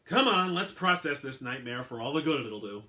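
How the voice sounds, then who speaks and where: A man's voice: mid-range voice, animated, moderate pace, pushed and bright. A man playing a weary, blunt female police detective. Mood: weary, sardonic. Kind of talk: dramatic story reading.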